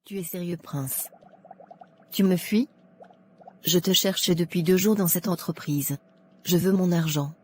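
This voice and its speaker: A woman playing a sensible, frank colleague who lends money in an animated moral tale. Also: lowish voice, a little slow, long pauses, a little hiss or hum, close to an everyday voice. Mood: indignant.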